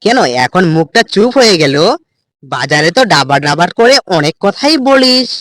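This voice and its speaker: A voice actor playing a menacing, taunting male village thug in a cartoon, with a slow mocking drawl. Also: mid-range voice, very animated, a bit bright. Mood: threatening. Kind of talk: cartoon voice acting.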